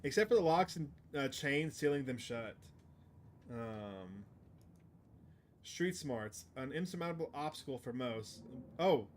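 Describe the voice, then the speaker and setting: A man's voice: medium pitch, animated, a little slow, slight background noise. A man live streaming a game, chatting to his viewers and reading the on-screen text aloud. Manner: chatty, addresses stream chat.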